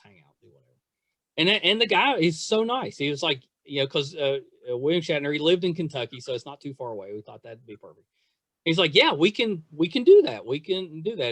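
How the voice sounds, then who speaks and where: A middle-aged man hosting a podcast, chatting and telling stories in an excitable, effusive way, repeating phrases for emphasis. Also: on the high side, no echo. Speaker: podcast host interviewing a guest. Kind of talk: casual chat, storytelling.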